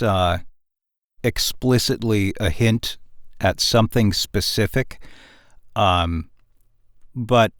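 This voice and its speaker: A man chatting casually as a panel guest, in a halting, thoughtful way. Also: lowish voice, no echo, studio quality. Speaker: podcast panelist. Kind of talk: casual chat.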